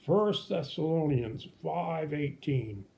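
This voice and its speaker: A man's voice: fairly high pitch, animated, slow pace, gravelly, a little mellow, full character voice. A man voicing a cheeky, wisecracking old turkey puppet in a children's puppet show. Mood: reciting. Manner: joking, teasing, punctuated with gobbles.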